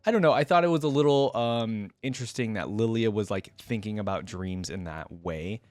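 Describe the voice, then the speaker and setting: A man's voice: on the low side, brisk, darkish tone, dry recording. A young man hosting a reaction video, reading story text aloud and commenting in an informal, musing way.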